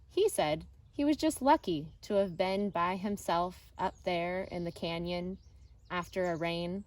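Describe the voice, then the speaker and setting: Slow-paced woman reading a children's book. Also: on the low side. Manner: deliberate, expressive storytelling with pauses. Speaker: elementary teacher reading aloud. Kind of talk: children's picture book read-aloud.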